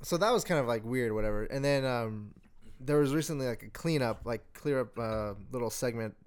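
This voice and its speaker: Mid-pitched young man chatting informally. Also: fairly quick, clean tone. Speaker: podcast co-host. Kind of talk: casual chat, informal explaining.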